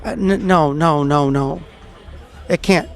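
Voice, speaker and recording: A man playing a tabletop game, improvising narration and character lines in a halting, stammering way. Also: fairly high pitch, creaky, soft background music. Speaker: player in a tabletop game podcast. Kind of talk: improvised storytelling, acting out a character.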